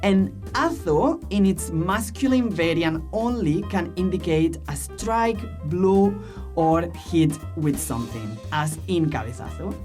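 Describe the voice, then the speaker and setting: A woman's voice: lowish voice, lively intonation, unhurried, darkish tone, soft background music. A woman presenting language courses in a scripted promotional and teaching style.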